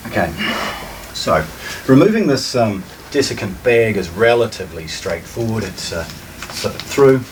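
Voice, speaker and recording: A man giving an unscripted product demonstration as a shop presenter, speaking in a measured, methodical way with halting phrasing. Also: on the low side, very animated. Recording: distant mic with faint room noise.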